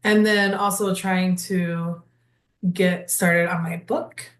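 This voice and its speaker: A woman's voice: on the low side, steady tone, slow pace, echoey room. A woman leadership coach from Wisconsin introducing herself on a video call, upbeat and direct.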